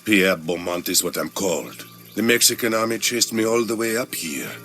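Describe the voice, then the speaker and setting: An actor playing a sinister, mocking French cult leader in a game cutscene, with a gravelly voice and a taunting, menacing manner. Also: male voice, on the low side, animated, bright, forceful tone. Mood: mocking menace. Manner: taunting, menacing, drawn-out phrasing. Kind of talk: video game cutscene acting. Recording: dramatic music behind.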